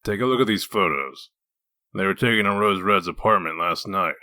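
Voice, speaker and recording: A man voicing a gruff, foul-mouthed wolf detective in human form, blunt and sardonic, in a comic dub. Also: deep voice, normal speed, bright, forceful tone, no echo, clean studio sound.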